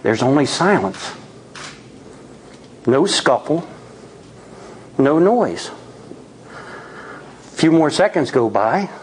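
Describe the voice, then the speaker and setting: Slow-paced man telling a spooky story. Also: fairly high pitch, sing-song, a little hiss or hum, low-quality recording. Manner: theatrical, suspenseful, with deliberate dramatic pauses. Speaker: storyteller at a Toastmasters tall tales event. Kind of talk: dramatic live spooky storytelling.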